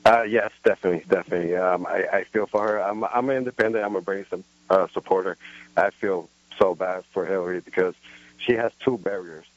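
A man calling in to a talk radio show, speaking in an earnest, halting, repetitive way. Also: sits low, steady tone. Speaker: caller. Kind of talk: call-in phone conversation. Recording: muffled, dry radio call audio.

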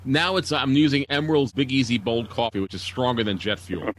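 A man's voice: medium pitch, steady tone, fairly quick, slight background noise. A middle-aged man chatting casually on a group call, often repeating and restarting his phrases as he moderates.

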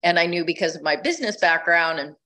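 A middle-aged woman with a lowish voice tells her story at an easy conversational pace. She is reflective and restarts her phrases while she gathers her thoughts. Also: expressive, fast, sharp tone. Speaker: podcast guest, retreat leader.

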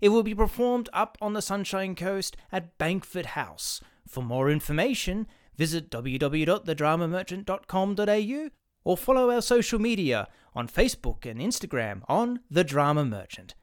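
A man's voice: high voice, very animated, normal speed. A man presenting scripted radio play credits and announcements in a formal announcer manner.

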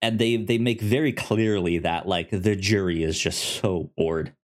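Halting man chatting, slow delivery. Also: sits low. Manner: halting, stammering, repeats words. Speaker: podcast host. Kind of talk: casual TV review chat.

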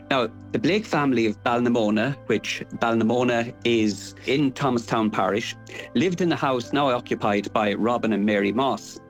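A man's voice: medium pitch, low-quality recording. An older man with an Irish accent explaining local history in a scholarly, detailed, digressive way. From Ireland.

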